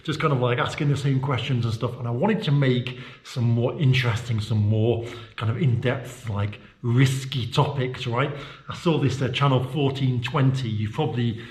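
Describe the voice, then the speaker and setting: A man's voice: medium pitch, normal speed, darkish tone. A man from the UK with a British accent, chatting casually while giving a handheld-camera tour of his indoor office studio, rambling with lots of fillers and restarts. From United Kingdom. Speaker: vlogger. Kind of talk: casual walking-tour vlog. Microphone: handheld camera mic. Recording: echoey room.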